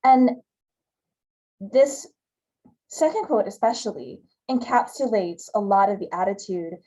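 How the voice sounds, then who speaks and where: A woman's voice: mid-range voice, lively intonation, a little slow, warm tone, rough recording. A woman from Hawaii giving a conversational talk over slides, with fillers and repeated words. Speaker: guest speaker giving a talk.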